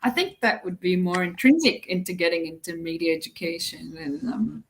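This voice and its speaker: A woman from Australia speaking casually as a guest, in a thoughtful, halting way. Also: fairly low pitch, animated, slight background noise, rough recording. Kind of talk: casual conversation.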